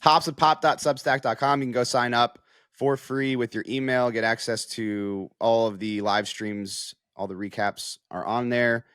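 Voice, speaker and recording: A man's voice: medium pitch, steady tone, normal speed, fairly bright tone, clean tone, no echo, clean studio sound. A man speaking as a guest sports analyst, explaining things in a casual, conversational way.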